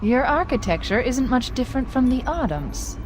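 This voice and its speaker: An actress playing a witty, self-assured artificial intelligence in a sci-fi video game, speaking in a wry, articulate way. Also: female voice, high-pitched, big pitch swings, moderate pace, noisy background. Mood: urgent, dry. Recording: loud music over voice.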